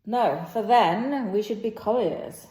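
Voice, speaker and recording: A woman's voice: mid-range voice, takes it slowly, dark tone, close to an everyday voice. A woman playing a teasing, sceptical male servant in an old stage play, with a needling, declaimed delivery. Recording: roomy, echoing sound.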